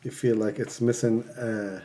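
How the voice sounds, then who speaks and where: A man's voice: mid-range voice, little pitch movement, measured pace, a crowd in the background. A man, a father of four, talking hesitantly and searching for words in a family chat. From Lebanon. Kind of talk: casual family conversation.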